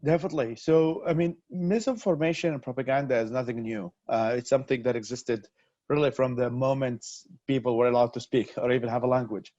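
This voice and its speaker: A man's voice: mid-range voice, a little fast, mellow, no echo. A man from Iraq giving earnest interview answers over a video call, often restarting and repeating words as he thinks. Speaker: interview guest, activist. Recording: dull lo-fi video call audio.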